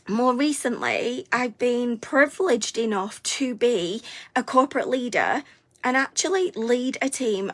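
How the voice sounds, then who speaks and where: A woman, hosting a live stream, a little hoarse and congested from a cold, chatty and upbeat, sometimes stumbling over her words. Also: on the high side. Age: 40s. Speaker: host of a weekly live stream. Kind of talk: live stream chat to viewers.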